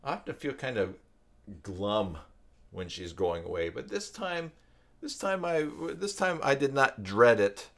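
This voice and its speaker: An older man hosting a live stream, chatting casually in a rambling, reflective way with hesitant pauses. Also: medium pitch, expressive, gravelly, a bit bright. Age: older, with grandchildren.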